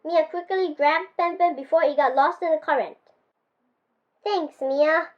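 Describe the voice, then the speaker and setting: A ten-year-old girl from Japan reading her own picture book aloud in an expressive storybook style. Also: high-pitched, brisk, lo-fi sound. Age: child, 10 years old.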